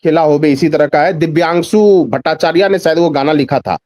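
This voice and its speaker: A man's voice: fairly high pitch, brisk, a little mellow, no echo, studio quality. A man giving extended political commentary as a guest in a news discussion, emphatic, repeating phrases and posing rhetorical questions.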